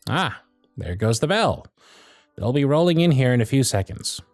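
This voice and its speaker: Guy doing a bossy teasing elf supervisor, asmr roleplay, pitch moves around a lot, super dry recording. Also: very animated, normal speed, no room sound, faint music behind. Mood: earnest. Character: gruff but fond male elf workshop supervisor.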